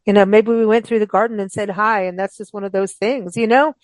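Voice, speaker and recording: A woman's voice: mid-range voice, steady tone, fairly quick, no echo. A talkative woman hosts a casual interview show. Her voice sits in a middle register and she rambles informally from thought to thought. The sound is dry, with very little room in it. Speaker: host of a books radio show.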